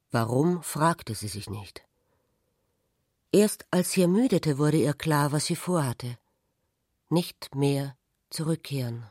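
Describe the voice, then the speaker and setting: An actress narrating a literary radio drama as a troubled, wounded woman, measured and introspective. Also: low-pitched, darkish tone, no room sound, studio quality. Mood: sorrowful, introspective.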